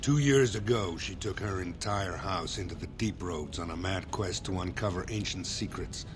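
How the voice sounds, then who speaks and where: An actor voicing a dignified older dwarf lord in a fantasy video game, speaking formally and with measured gravity. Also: male voice, deep voice, lightly acted. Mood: grave, formal. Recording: game dialogue over prominent music. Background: loud background music.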